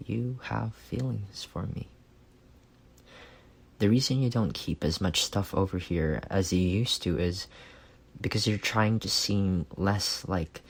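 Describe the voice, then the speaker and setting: Man acting a reserved young boyfriend type, gravelly fry, unhurried, soft-spoken, intimate ASMR roleplay. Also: sits low, full character voice. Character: reserved, analytical young man confessing love to best friend.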